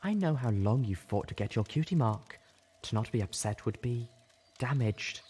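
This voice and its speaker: A man voicing a gentle but firm female pony medic in an audiobook, measured and reasoning. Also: mid-range voice, animated, slight background noise, close to an everyday voice. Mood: gentle, consoling.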